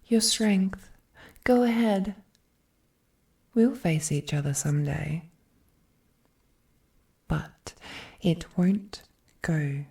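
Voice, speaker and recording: A woman's voice: fairly low pitch, mellow, slightly rough, exaggerated voice. A woman playing an ancient, immensely powerful female villain, possessive, wounded and regal, in a brooding, theatrical romantic audio roleplay with whispered phrases. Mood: resolved, sorrowful. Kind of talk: dramatic romantic audio roleplay, addressed to the listener.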